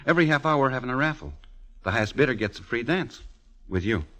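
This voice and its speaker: An actor playing a breezy, flattering, smooth-talking male army sergeant in 1940s radio style. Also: mid-range voice, quick pace, natural voice. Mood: breezy, coaxing. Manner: breezy, cajoling. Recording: echoey, reverberant old radio broadcast.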